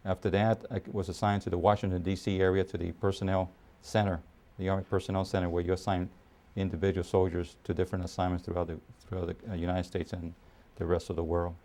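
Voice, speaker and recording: Deep monotone guy in his 50s, army officer, lots of uhs and pauses, documentary interview. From Puerto Rico, raised in New York City. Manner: measured, with frequent pauses and fillers.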